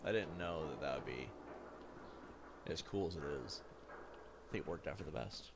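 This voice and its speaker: Deep-voiced man in his thirties rambling. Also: moderate pace. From United States. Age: 30s. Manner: rambling, lots of fillers and self-corrections. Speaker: gaming streamer. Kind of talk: casual chat while playing a game. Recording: steady background noise.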